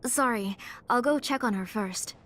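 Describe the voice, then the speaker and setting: An actress voicing a soft-spoken, caring mother in a game, earnest and gentle. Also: a little high, unhurried, a little hiss or hum. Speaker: mother and name engraver.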